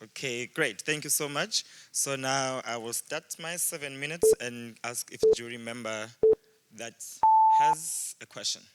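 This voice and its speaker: A man's voice: medium pitch, unhurried, background noise. A man moderating a live event as the session host, introducing and directing speakers.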